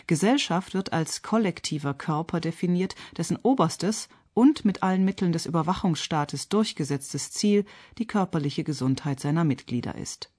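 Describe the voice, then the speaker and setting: A woman radio critic reading a scripted theatre review in an articulate, measured and pointed manner. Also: low voice, darkish tone.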